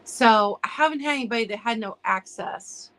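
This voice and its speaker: A slightly high-voiced woman hosts a live vintage sale, chatting casually and restarting her sentences. Her voice is a little hoarse, and she clears her throat now and then. She greets viewers by name between item descriptions. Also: normal speed, a bit bright. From United States. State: losing her voice from allergies. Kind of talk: casual live-stream selling chat.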